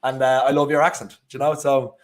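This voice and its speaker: A man's voice: medium pitch, flat delivery, fast. A man with an Irish accent co-hosting a live football watchalong, chatting casually and rambling with frequent restarts. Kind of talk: casual live match chat.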